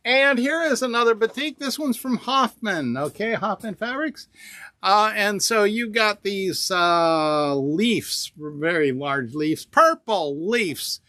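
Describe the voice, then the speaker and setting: A man's voice: sits high, expressive, takes it slowly, bright, forceful tone, no room sound. A man in his sixties co-hosting a fabric shop video, describing each bolt in a rambling, casual way with many fillers and upbeat price calls, recorded inside the store. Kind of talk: casual product showcase. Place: indoor fabric store among shelves. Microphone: small camera on table nearby.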